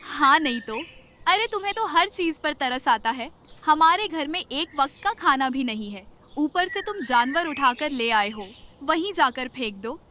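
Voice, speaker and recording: A woman playing a greedy, nagging village wife with a sharp tongue in a cartoon moral story. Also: high-pitched, expressive, a little slow, a little hiss or hum, exaggerated voice. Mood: irritated, scolding. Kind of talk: animated moral story acting.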